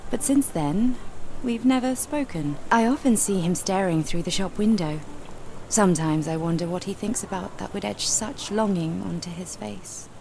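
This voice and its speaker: An actress playing a polite, gently wry, reserved flower seller in a scripted game. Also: female voice, mid-range voice, moderate pace, vocal fry, noisy background, natural voice. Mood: wistful.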